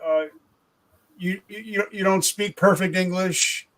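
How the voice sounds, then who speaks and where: A man's voice: sits high, steady tone, slow pace, a bit bright, rough recording. A man hosting a podcast, asking questions enthusiastically with frequent ums and restarts. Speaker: podcast host. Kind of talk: interview questions.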